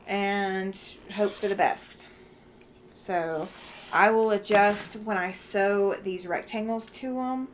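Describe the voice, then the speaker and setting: A woman's voice: medium pitch, slight background noise. A tired woman with a Southern US accent chatting casually while she works on a quilt at home, thinking aloud with fillers and frequent laughs. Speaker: quilting livestream host.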